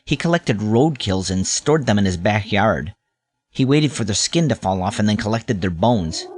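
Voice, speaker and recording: A man with a lowish voice narrates a scripted story in the first person. He tells it as a nostalgic look back, in the role of storyteller. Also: expressive, moderate pace, a little mellow.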